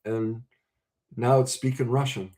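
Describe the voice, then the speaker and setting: A man speaking as a relationship coach, earnest and emphatic, breaking his talk into short, deliberate phrases. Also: mid-range voice, flat delivery, a little mellow. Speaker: relationship coach and podcast host. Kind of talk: coaching talk to camera with role-played example conversations.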